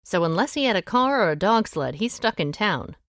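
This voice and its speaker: An actress playing a shrewd, take-charge amateur detective with a brisk, wry way of reasoning aloud in an audio drama. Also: female voice, medium pitch, very animated, a bit bright, no room sound, studio quality. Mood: musing, decisive. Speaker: lead actor in an audio drama.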